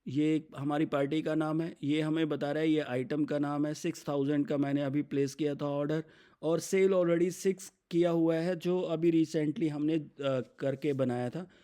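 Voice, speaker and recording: A man with an Indian accent explains software step by step as an instructor, mixing English business terms into his speech. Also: fairly high pitch, level tone, normal speed, smooth. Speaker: software demonstrator.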